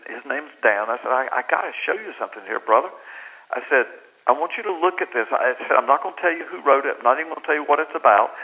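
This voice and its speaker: Animated man over a phone line. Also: a little high. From United States. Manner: animated storyteller who acts out quoted dialogue. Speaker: author guest. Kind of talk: talk radio interview, storytelling.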